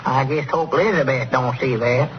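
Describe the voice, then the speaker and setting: An actor playing a gullible, hesitant, excitable country bumpkin with a rural Southern US accent in old-time radio comedy. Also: male voice, mid-range voice, normal speed, lightly acted. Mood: doubtful. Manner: hesitant, naive, swooping, folksy. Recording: muffled, noisy vintage radio recording.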